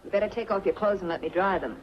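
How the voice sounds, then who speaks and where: A woman's voice: mid-range voice, quick pace, a little hiss or hum, close to an everyday voice. Woman acting young American woman, film noir drama, guarded, wistful, mid-range voice, muffled vintage film sound. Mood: guarded, brisk.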